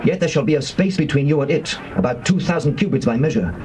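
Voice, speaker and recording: A slightly high male voice acted as an Israelite officer in an audio Bible drama. He declaims instructions with authority over clear background noise. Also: lively intonation, quick pace, darkish tone, lightly acted. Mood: commanding. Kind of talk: dramatized King James Bible acting.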